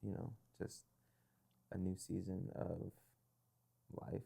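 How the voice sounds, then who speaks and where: A young man hosting a casual interview, relaxed and thoughtful, often affirming with quick "yeah"s and pausing with fillers. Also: low-pitched, vocal fry, husky. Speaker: podcast host.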